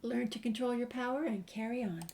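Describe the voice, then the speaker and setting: A woman's voice: a little high, steady tone, brisk, darkish tone. A woman hosting a game walkthrough, casually commenting and reading dialogue options aloud. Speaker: gamer, host of a game walkthrough.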